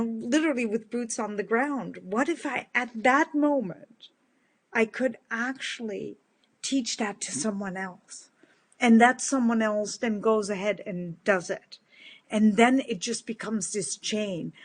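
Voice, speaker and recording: A woman in her fifties who grew up in the Netherlands, with a big booming voice that sits a little high for a woman. She talks straight to her followers in a casual live stream, earnest and sincere. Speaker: kindness movement speaker.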